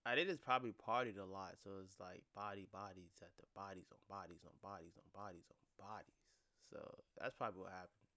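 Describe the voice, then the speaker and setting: A man's voice: low voice, steady tone, fast, pushed and bright. A man hosting a reaction video, giving blunt, profane and incredulous casual commentary.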